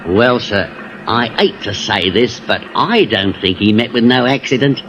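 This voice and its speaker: A man's voice: on the low side, measured pace, lightly acted. An actor playing a sly, servile blackmailing seaman with wheedling working-class speech in a 1950s radio drama. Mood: sly, deferential. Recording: muffled, noisy vintage radio recording.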